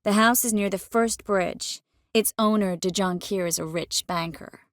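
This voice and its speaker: A woman's voice: medium pitch, a little slow, no echo, studio quality, natural voice. A woman voicing a composed, caring young sorceress in a video game, earnest with a wry edge. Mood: composed, reflective. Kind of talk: game dialogue acting.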